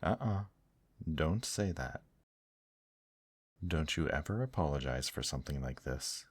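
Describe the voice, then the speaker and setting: Tender demon companion , male actor, husky and close-miked with a very dry sound, reassuring and protective, for intimate ASMR audio roleplay. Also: very deep voice, animated, no room sound, heavily acted. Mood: firm, caring. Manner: soft, tender, reassuring, unhurried.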